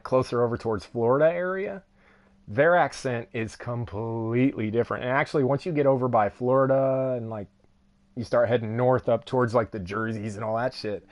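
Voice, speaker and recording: A young man with a Texan Southern accent, chatting casually and rambling while he streams a game, laughing often. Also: mid-range voice. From Texas. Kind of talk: casual live-stream chat while gaming.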